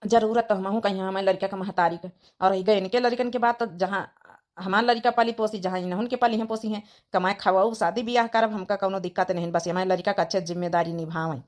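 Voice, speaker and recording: A woman's voice: medium pitch, steady tone, quick pace, close to an everyday voice. A woman playing an earnest widowed village father in a cartoon drama, plain-spoken, with an Awadhi accent. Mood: earnest, firm. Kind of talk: cartoon comedy-drama dialogue in village dialect.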